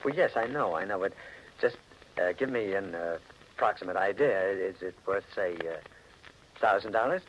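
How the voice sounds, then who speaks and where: An actor playing a haunted, intense husband drawn toward murder, narrating a 1940s radio thriller in a dramatic, brooding, halting way. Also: male voice, low voice, highs cut off, a little hiss or hum, natural voice. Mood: eager, uneasy. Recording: old 1940s radio recording with music.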